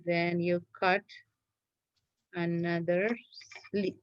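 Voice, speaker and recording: Slow-paced woman teaching a children's craft class. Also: on the low side, flat delivery, clean tone, no echo, dull audio. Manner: patient, repetitive, checks with listeners. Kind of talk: step-by-step craft instruction for children.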